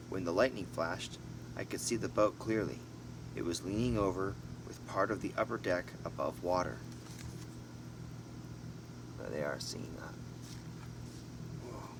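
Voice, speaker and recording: A man narrating a storybook as an amateur reader, expressively, in short halting phrases with casual asides. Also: lowish voice. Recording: noisy, distant mic, vehicle-like background hum.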